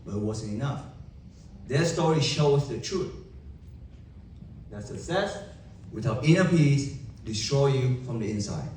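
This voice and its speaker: A young man with a Vietnamese accent giving an emphatic motivational keynote to a live audience, with dramatic pauses and rhetorical questions. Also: medium pitch, lively intonation, darkish tone, a little hiss or hum. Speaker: motivational speaker. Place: large event hall. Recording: echoey hall from far off.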